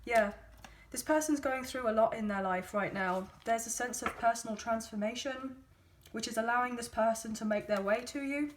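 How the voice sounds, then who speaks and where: A woman with a slightly croaky, hoarse voice, giving a casual tarot reading to her viewers in a chatty, gently encouraging way with frequent ums. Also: fairly high pitch, normal speed, noisy background. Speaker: tarot card reader.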